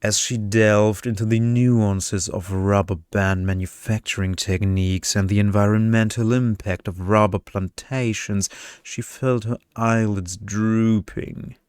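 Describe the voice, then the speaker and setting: A man reading scripted fiction as an ASMR storyteller, with a measured, deliberate delivery. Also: on the low side, fairly even intonation, a little mellow, smooth, no echo, clean studio sound. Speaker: story reader, ASMR narrator.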